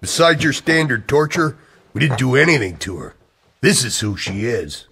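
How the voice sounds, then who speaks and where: An actor playing an agitated, earnest man who believes in a dark conspiracy, in a radio-style drama. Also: medium pitch, big pitch swings, moderate pace, vocal fry, breathy edge, full character voice. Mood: grave, insistent. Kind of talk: scripted radio-play acting.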